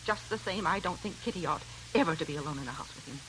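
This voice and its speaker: Anxious, animated aunt, noisy radio. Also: female voice, deep voice, fast. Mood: suspicious, worried. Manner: worried, fussy. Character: anxious, suspicious, protective middle-aged aunt. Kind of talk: 1940s radio drama acting. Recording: noisy old radio recording.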